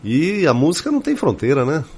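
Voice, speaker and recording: A man's voice: fairly high pitch, huge pitch swings, fairly quick, a crowd in the background. A Brazilian man hosting a radio interview in a casual, chatty way, informal and effusive, often interjecting. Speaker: radio show host. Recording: clear background noise and ambience.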